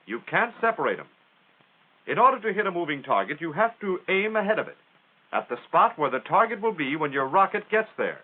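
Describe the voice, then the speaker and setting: A man's voice: high-pitched, very animated, fairly quick. Tinny 1940s American male training-film narrator. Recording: tinny, band-limited 1940s film soundtrack.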